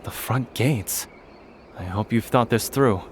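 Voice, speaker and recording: A man playing a noble, earnest young warrior prince in a video game, speaking in a measured, formal way. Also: medium pitch, background noise, lightly acted. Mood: questioning. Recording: loud music behind the voice. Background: game music.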